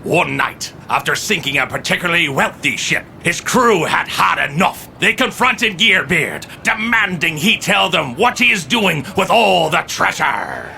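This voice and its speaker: Bright, hammy old pirate storyteller. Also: male voice, on the high side, sing-song, unhurried, vocal fry, hardly any pauses, a little hiss or hum, full character voice. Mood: dramatic. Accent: stage pirate. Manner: dramatic, hammy. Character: jolly old pirate radio captain spinning legends. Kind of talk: theatrical scripted storytelling.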